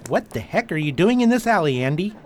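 A man plays a defensive ex-rebel investigator in a radio play. His voice is lightly pitched and swings a lot, wry and exasperated. Faint noise sits in the recording. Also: big pitch swings, fast. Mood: flustered. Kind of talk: audio drama acting.

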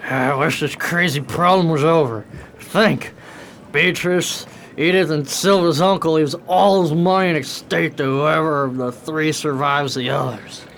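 A man's voice: on the high side, fairly even intonation, unhurried, fairly bright tone, a little hiss or hum. A man playing a folksy, genial husband in a radio drama, informal and hearty in manner. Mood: exasperated.

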